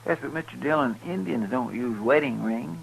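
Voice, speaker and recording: A man's voice: medium pitch, normal speed, vocal fry, natural voice. An actor playing a folksy, deferential lawman's helper with a western in a radio drama. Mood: eager, respectful. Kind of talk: 1950s radio western acting. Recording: noisy 1950s radio broadcast recording.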